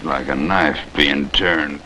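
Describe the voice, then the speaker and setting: An actor playing a bitter, ruthless crippled army captain in a 1950s TV western, gravelly, sardonic and commanding. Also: male voice, rumbling low, fairly even intonation, takes it slowly, background noise. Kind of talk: 1950s television western acting.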